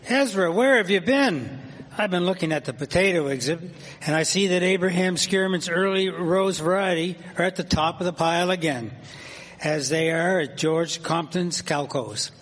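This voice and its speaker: A man's voice: high-pitched, normal speed, a bit bright. A man playing a keen, practical 1870s fairgoer in a historical radio drama, chatty in an old-fashioned way, in a reverberant hall. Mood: eager. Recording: long echoing hall reverb.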